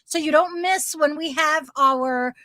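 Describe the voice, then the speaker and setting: A woman's voice: sits high, bright, forceful tone. A woman with a New Jersey accent, co-hosting a casual livestream chat over a video call, talkative and emotional. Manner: talkative, emotional, quick restarts. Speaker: co-host of an RV living livestream.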